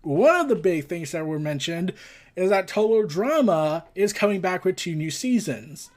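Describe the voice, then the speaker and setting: A man's voice: high voice, animated, normal speed. A man hosting a pop culture news show, mixing read-out reports with casual, opinionated and exasperated commentary.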